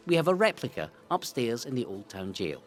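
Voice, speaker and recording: A Scottish man narrates history like a showman, his pitch swinging widely from phrase to phrase. He leans on key words with deliberate, theatrical relish. Music plays clearly behind his scripted delivery. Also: sing-song. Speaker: narrator of a local history audio guide.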